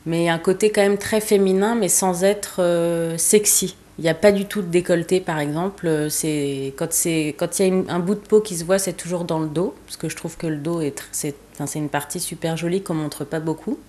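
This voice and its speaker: A woman's voice: lowish voice, steady tone, fairly quick, few pauses, a little mellow. A young woman from eastern France, a fashion designer being interviewed, who speaks casually with many hesitations and fillers.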